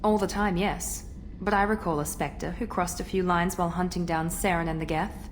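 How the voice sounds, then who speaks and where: A woman's voice: fairly low pitch, fairly even intonation, normal speed, a little hiss or hum, lightly acted. A woman playing a proud, cool elite operative in a video game, frank and composed. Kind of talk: video game character acting.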